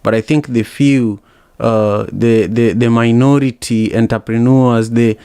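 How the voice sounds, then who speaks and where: Smooth-voiced man hosting a podcast. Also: medium pitch, steady tone, a little slow, no room sound, clean studio sound. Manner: warm promotional chatter with frequent fillers. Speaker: podcast host. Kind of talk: conversational interview hosting.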